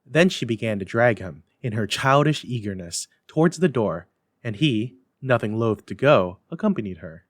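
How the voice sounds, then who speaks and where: A man giving a theatrical, expressive dramatic reading as a storyteller and host. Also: medium pitch, normal speed, no room sound, studio quality. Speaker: library staff storyteller and host. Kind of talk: dramatic scripted narration.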